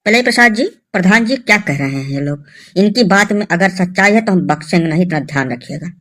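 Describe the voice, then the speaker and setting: A man's voice: high-pitched, animated, fairly quick, fairly bright tone. A man playing a stern, cautious police inspector in a comedy cartoon, officious and firm. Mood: stern questioning.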